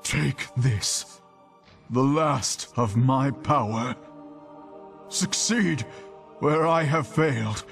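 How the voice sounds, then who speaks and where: A fading champion from a video game, solemn and worn out. The words come very slowly in a weary, creaking voice over faint music. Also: male voice, medium pitch. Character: weary, fading champion passing on his last power. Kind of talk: video game character acting.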